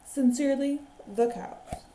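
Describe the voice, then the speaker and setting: A woman as firm, courteous picture-book barn animals, formal letter cadence, unhurried, echoey room. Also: sits high, dark tone, pitched above the performer's own voice. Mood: polite, formal. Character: polite but firm farm animals writing demand notes, picture book. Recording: roomy echo on the voice.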